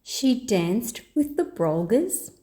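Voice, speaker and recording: A woman storyteller reading a children's picture book aloud in an expressive, deliberate way. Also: fairly high pitch.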